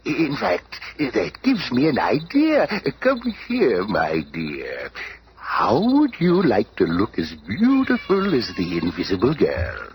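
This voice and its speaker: A man's voice: mid-range voice, huge pitch swings, takes it slowly, breathy edge, full character voice. An actor playing a scheming, domineering villain and stepfather in a theatrical, gloating 1970s radio drama style. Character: scheming, domineering male villain puppet master, cruel stepfather. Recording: noisy old 1970s radio recording.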